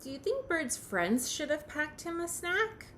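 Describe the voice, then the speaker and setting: Woman, preschool read-aloud, expressive storytelling, slow pace, lively intonation, slight background noise. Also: sits high. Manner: expressive, pauses to question the listener. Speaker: preschool teacher reading aloud.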